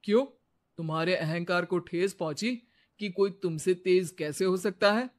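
A man voicing a teasing, self-assured young husband in an animated story. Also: high-pitched, moderate pace, close to an everyday voice. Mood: challenging.

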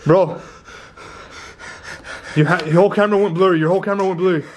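A man's voice: high voice, fairly even intonation, fast talker, slight background noise. A young man filming his friend, reacting with alarmed, urgent chatter and calling everyone "bro". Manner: alarmed, urgent, repeats "bro" and "chill" constantly. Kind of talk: casual reactive commentary.

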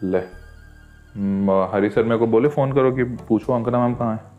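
A man's voice: medium pitch, normal speed, muffled recording. Male, thirties, playful teacher making casual prank chat, light music bed. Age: 30s. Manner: playful, teasing. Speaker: online teacher. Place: indoor teaching studio. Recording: background music under the voice.

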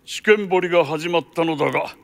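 An actor playing an imperious count in a radio drama, commanding and lordly in an old-fashioned way. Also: male voice, fairly high pitch, fast talker, gravelly. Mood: grave, troubled. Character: imperious male count, senior government overseer. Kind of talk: Japanese radio drama acting.

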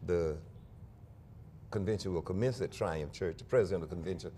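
An older man, a pastor, speaking earnestly and at a measured pace in a studio interview on a lapel mic, with small restarts. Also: sits low, mellow, a little hiss or hum. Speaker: pastor and convention guest.

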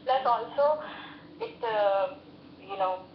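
A woman's voice: on the high side, sing-song, takes it slowly. A young woman with an Indian accent answering interview questions formally and hesitantly, in a deferential way. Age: young adult. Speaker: interview candidate, finance student. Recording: dull, echoey, noisy phone call.

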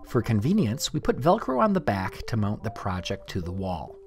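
Male how-to narrator, lowish register, animated inflection, scripted step-by-step read over faint music. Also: normal speed. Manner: clear step-by-step instructional delivery. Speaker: tutorial narrator. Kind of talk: scripted DIY tutorial narration.

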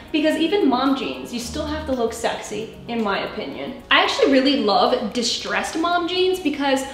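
A woman in her thirties doing a try-on haul, chatty and playful, moving and posing as she talks to a camera set back in a bright room at home. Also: on the high side, echoey room. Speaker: fashion vlogger.

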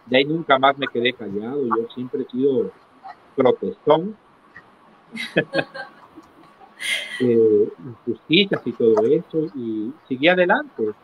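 A middle-aged man recounting his life as an interview guest, in a halting manner, repeating phrases for emphasis. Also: mid-range voice, animated. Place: small indoor room, video call. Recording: tinny phone-like call with clear noise.

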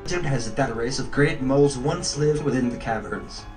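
A man's voice: mid-range voice, brisk, natural voice. Man acting a pompous bishop, distant over music. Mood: solemn. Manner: pompous, solemn, archaic phrasing. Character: haughty, stern temple bishop guarding a holy place. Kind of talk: video game fandub acting. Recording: distant mic under game music.

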